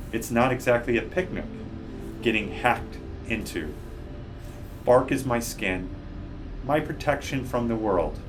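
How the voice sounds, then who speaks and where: A man narrating a children's audiobook in a measured, deliberate way, recorded at a slight distance from the mic. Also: mid-range voice. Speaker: guest storybook reader.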